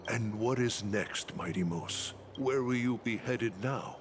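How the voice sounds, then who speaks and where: An actor playing a proud, troubled warrior addressing his king in a game, gravelly and dramatic. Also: mid-range voice, a little hiss or hum, natural voice. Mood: subdued, earnest. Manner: dramatic, slow, weighty. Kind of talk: scripted game cutscene drama. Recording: game dialogue under loud music.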